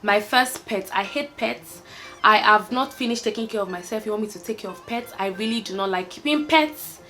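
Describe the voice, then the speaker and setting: A woman's voice: medium pitch, a little fast, sharp tone, faint music behind. A young woman with a Nigerian accent, chatting candidly to camera, laughing and trailing off with fillers. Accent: Nigerian, Lagos. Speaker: vlogger. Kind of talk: casual chat to camera, answering a tag list.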